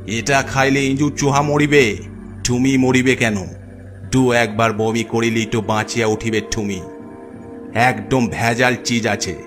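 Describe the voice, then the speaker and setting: A man voicing a stern, scolding gentleman ghost with stilted, in a horror cartoon. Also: mid-range voice, fairly even intonation, a little slow, natural voice. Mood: gruff, scornful. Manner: stern, barking, stilted formal speech. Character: stern scolding English sahib ghost in a graveyard. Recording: background music under voice.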